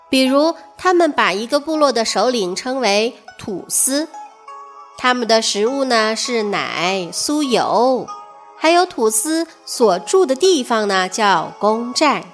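A woman telling a scripted fairy tale to children in an expressive way. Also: a little high, slow, faint music behind. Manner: expressive, addresses young listeners. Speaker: children's storyteller.

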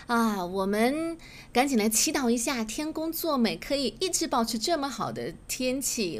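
A woman's voice: on the high side, a little hiss or hum. A native Chinese-speaking woman giving a chatty, explanatory English lesson, reading phrases aloud and glossing them. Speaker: English teacher and podcast host.

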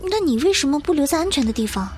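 A woman's voice: high voice, very animated, very fast, darkish tone, faint music behind. A woman playing an earnest, anxious young survivor in an audio drama, with emotional, pleading delivery.

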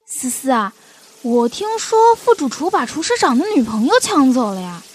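Actress as nosy female coworker, high pitch, swooping intonation, gossipy, audio drama. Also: sing-song, moderate pace, husky, full character voice. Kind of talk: Chinese audio drama acting.

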